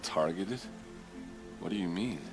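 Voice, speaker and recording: A low-voiced, gruff captain of the guard in a video game cutscene. He talks bluntly and colloquially, wearing his troubled feelings openly, with music playing behind him. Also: lively intonation, unhurried. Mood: shocked, grave. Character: gruff, troubled male city guard captain, hot-headed but loyal.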